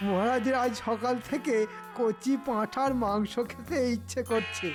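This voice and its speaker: A man voicing a scheming, greedy cartoon minister in an oily, wheedling, theatrical way. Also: very high voice. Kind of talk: exaggerated comic cartoon acting. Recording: music behind the voice.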